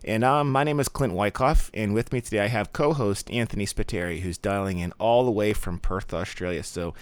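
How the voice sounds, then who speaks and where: Upbeat male podcast host, slightly low voice, dry recording. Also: fairly quick, clear, resonant tone, no room sound. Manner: upbeat, says "awesome" often. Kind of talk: casual podcast chat.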